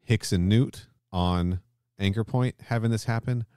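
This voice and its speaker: A man's voice: lowish voice, a little slow, mellow, clean tone, dry recording, studio quality. A man hosting a podcast in a casual, informal style with some swearing. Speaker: podcast host.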